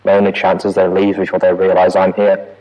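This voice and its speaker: A man's voice: low voice, completely flat delivery, fairly quick, a little mellow, a little hiss or hum, rough recording. A man voicing a frightened male prison staff pony, trapped and pleading, heard as a recorded audio log. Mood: grim, fearful. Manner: fearful, pleading, rising to panic. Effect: audio log playback.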